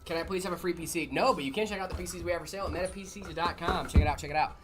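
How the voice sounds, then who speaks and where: A man's voice: sits high, very fast, music in the background. A man hosting a livestream, chatting casually in informal bro slang with lots of hesitant fillers as he reads out and answers viewer comments. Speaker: livestream host at a PC builder.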